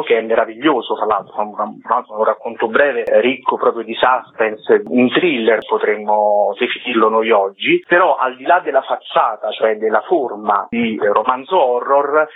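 Husky man on a phone line. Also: medium pitch. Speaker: theatre director being interviewed. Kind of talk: reflective interview talk. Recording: tinny narrow telephone line.

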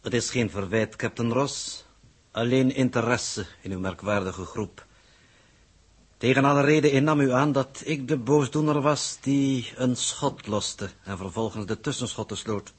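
A man's voice: medium pitch, measured pace, husky. An actor playing a clinical male space coordinator in a 1960s Dutch radio drama, speaking in a formal, precise, detached way. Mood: cool, clinical.

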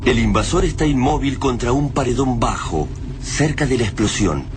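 A man's voice: medium pitch, expressive, normal speed. A man narrating a radio drama in a dramatic, scripted style. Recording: very noisy sound effects bed.

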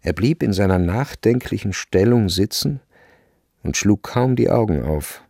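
A man's voice: fairly low pitch, animated, measured pace, vocal fry, mellow, dry recording, clean studio sound. A man narrating a literary radio play from a script. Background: faint music.

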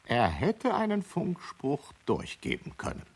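A man's voice: a little high, wildly swooping pitch, measured pace, breathy edge, full character voice. A man in a radio play, playing an insistent insurance agent who argues his points. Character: insistent male insurance agent, questioning, justifying himself.

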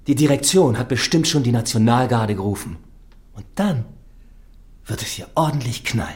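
An actor doing a rattled prison doctor in a radio crime drama, his voice jumping up and down in pitch. He talks in nervous, agitated bursts, as if he expects the next attack any moment. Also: animated, normal speed, close to an everyday voice. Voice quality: agitated, rising to outbursts. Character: frightened excitable male prison doctor.

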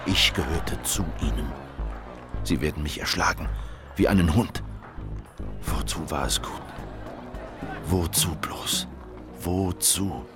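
Haunted fugitive ex-rebel, very low voice, brooding fragmented monologue, radio drama, loud music underneath. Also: male voice, takes it slowly, pauses often. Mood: bitter, brooding, then anguished. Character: haunted fugitive ex-revolutionary man narrating his inner thoughts. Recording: music behind the voice.

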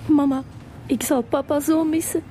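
An actress playing a bereaved young daughter with a Flemish accent, earnest and subdued, in a radio drama. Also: female voice, high-pitched, fairly even intonation, moderate pace, background noise, lightly acted. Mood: sad. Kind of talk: naturalistic radio drama acting.

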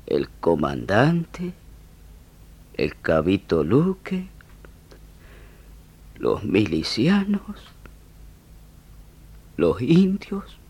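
Old wounded rural Argentine gaucho soldier, rustic and emotional, lively pitch, drawn-out pacing, for radio drama. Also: male voice, breathy edge, full character voice. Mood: weary, mournful. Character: old rustic wounded gaucho frontier sergeant, stubborn, grieving.

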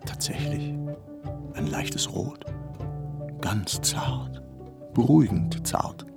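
Deep, slow, creaky pompous old poet. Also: male voice, lively intonation, exaggerated voice. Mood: solemn musing. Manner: pompous, musing, murmuring. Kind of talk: radio play monologue. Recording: music under the voice.

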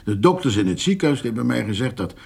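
Husky, wheedling older farmer. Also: male voice, medium pitch, very animated, quick pace, darkish tone, full character voice. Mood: persuasive. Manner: hesitant, wheedling, full of small interjections. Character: persuasive older farmer, jovial, scheming, slowing down. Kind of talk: naturalistic radio drama dialogue.

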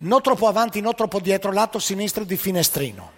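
A man voicing a stubborn older male traveler who speaks slow, broken Italian. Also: sits high, animated, slightly rough. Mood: insistent. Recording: reverberant hall.

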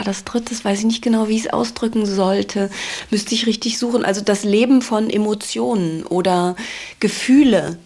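A woman's voice: medium pitch, a little mellow. A woman thinking aloud in an interview answer, hesitant and searching for her words.

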